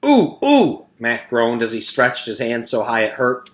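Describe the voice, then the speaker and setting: A man reading a children's storybook aloud as narrator, in the animated manner of a teacher reading to pupils. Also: mid-range voice, moderate pace.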